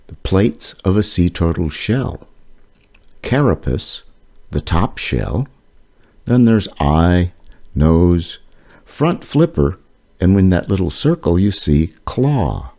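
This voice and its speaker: Slow, very deep-voiced man reading aloud. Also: big pitch swings, darkish tone. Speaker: author reading aloud his children's book. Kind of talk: scripted read-aloud of an educational children's book.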